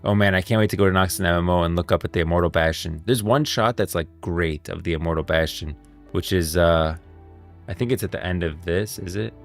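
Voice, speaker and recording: Deep-voiced enthusiastic male streamer. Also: a little fast. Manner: enthusiastic, emphatic, slangy. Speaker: live streamer, lore commentator. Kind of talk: casual livestream game lore commentary. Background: music.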